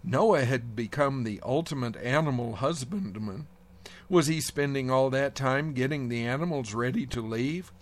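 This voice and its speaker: A man reading a prepared devotional essay aloud in a measured, reflective way with gentle wry humor. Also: medium pitch. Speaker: devotional speaker.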